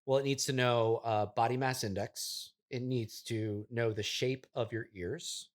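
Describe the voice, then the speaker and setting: A self-important tech founder played by a male improv performer, earnest and halting as he explains himself with deadpan seriousness. He is easily choked up and sits at an ordinary middle pitch in a comedy interview. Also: close to an everyday voice. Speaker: podcast guest playing a character.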